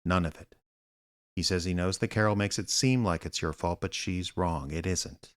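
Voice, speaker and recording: Man acting as aging private eye, low voice, dry, sardonic, gruff but kindly, radio drama. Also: normal speed, lightly acted. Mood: gentle, earnest.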